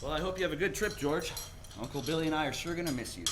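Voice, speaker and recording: An actor playing a weary, kindly older small-town father in a 1940s radio play, speaking gently and haltingly. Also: fairly high pitch, noisy background, natural voice.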